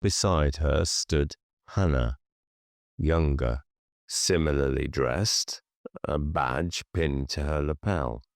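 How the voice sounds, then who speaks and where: A man narrating horror fiction for an audiobook in a measured, deliberate, hushed storytelling manner. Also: very deep voice, gravelly, no echo, clean studio sound.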